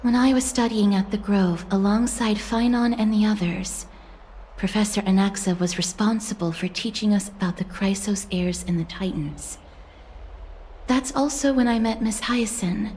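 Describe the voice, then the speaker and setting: An actress playing a soft-spoken, solemn young woman in a video game, talking politely and in a subdued, earnest way. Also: medium pitch, normal speed, slight background noise, close to an everyday voice, low-quality recording. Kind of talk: scripted video game dialogue.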